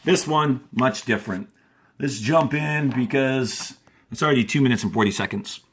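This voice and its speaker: A man's voice: mid-range voice, moderate pace, husky. A man who teaches fourth grade, reading aloud expressively in an emphatic, instructive way with teaching asides. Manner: emphatic, instructive, checking in with "okay?".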